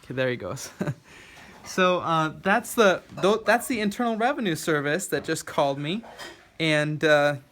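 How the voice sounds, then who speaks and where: A man's voice: on the high side, animated, moderate pace, a bit bright. A man from the United States talking casually on a call in a hesitant, polite, questioning way. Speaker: call recipient recording a scam call. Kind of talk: casual phone conversation. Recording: faint noise with clicks.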